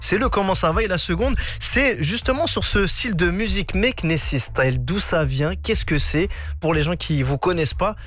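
A man hosting a radio show and interviewing a guest in the studio, talking in a lively, emphatic way with many fillers. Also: high-pitched, normal speed. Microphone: studio microphone.

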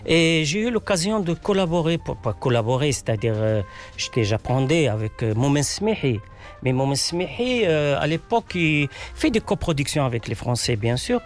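A man's voice: on the high side, animated, normal speed, faint music behind. A man whose first language is Arabic, a playwright answering interview questions in a hesitant way, with many fillers and restarts. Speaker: playwright guest.

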